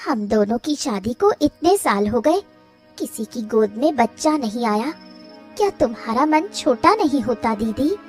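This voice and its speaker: A woman voicing a gentle, affectionate village wife in a cartoon family drama, in a tender manner. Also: fairly high pitch, sing-song, unhurried, soft background music. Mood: wistful, tender.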